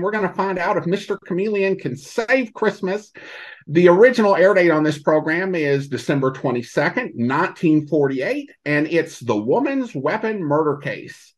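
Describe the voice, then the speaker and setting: Higher-voiced male podcast host. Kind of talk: scripted episode introduction.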